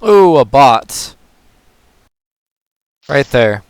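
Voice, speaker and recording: A man with a hoarse, tired voice, casually talking through a puzzle game move by move as a gaming channel host. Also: medium pitch, very slow, no room sound. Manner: relaxed, talks through moves step by step. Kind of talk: casual gameplay commentary.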